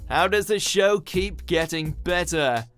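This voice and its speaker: A man's voice: high-pitched, takes it slowly, fairly bright tone, faint music behind. A man reading scripted narration as the narrator of a ranked countdown video.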